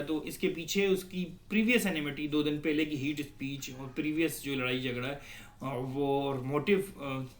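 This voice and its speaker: Male law lecturer, Pakistani accent, slightly high register, instructive question-and-answer delivery, very echoey room sound. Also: a bit bright. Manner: didactic, poses questions then answers them. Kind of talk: explanatory lecture.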